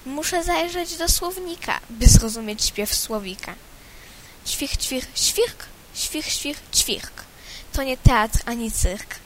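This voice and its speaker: A woman's voice: sits high, a little hiss or hum. A woman voicing a fussy little sparrow who chirps and scoffs. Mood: scornful. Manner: quick chirping, scornful.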